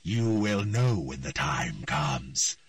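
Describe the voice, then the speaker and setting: A man voicing a cold, hostile, inhuman presence speaking through a young girl, slow and mocking. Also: very deep voice, very animated, dry recording, clean studio sound, far from the mic, pitched below the performer's own voice, full character voice. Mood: menacing.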